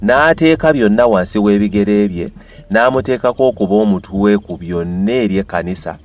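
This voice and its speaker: Low-voiced Ugandan man reading scripture over music. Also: expressive, brisk, a little mellow. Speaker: Bible narrator. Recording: music behind the voice.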